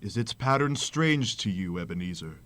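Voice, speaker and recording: A man's voice: lowish voice, expressive, moderate pace, a bit bright. A man playing the mournful, commanding ghost of a dead businessman in a radio drama, solemn and declamatory, drawing out his words.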